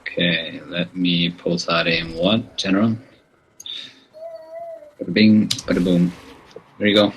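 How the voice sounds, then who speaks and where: A man's voice: sits low, level tone, a little slow, pauses often, slight background noise, rough recording. A man from Sweden, chatting casually while streaming a game, often stammering and restarting his sentences. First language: Swedish. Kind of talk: casual gaming chat.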